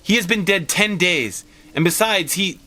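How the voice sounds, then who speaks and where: A man's voice: high voice, normal speed, sharp tone, pitched above the performer's own voice. A man voicing a fearful old husband in a storybook, shaky and strained, faltering as he pleads. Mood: horrified. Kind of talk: dramatic storybook read-aloud.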